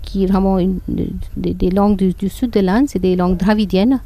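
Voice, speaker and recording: Woman, from northern India, Hindi native speaker, warm dark tone, hesitant, fillers and restarts, outdoors. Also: medium pitch, steady tone, moderate pace. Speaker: guide and interpreter. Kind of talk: conversational explanation. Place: outdoor public gardens.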